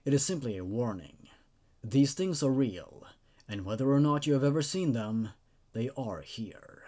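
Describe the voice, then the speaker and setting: A man's voice: mid-range voice, animated, normal speed, warm tone, lightly acted. Man reading as a haunted, fearful horror story narrator. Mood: grave, warning. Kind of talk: first-person creepypasta narration.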